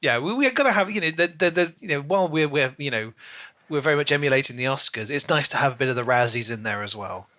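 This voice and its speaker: Bright-voiced man chatting on a podcast. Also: medium pitch, expressive, fast talker, no room sound. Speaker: podcast co-host. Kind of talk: casual book discussion.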